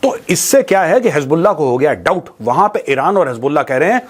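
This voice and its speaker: Animated, high-pitched male commentator. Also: fast, breathy edge. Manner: mocking, colloquial, rhetorical questions, mixes in English; repeats phrases for emphasis. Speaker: host of a news commentary show. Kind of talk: opinionated informal monologue to viewers on current affairs.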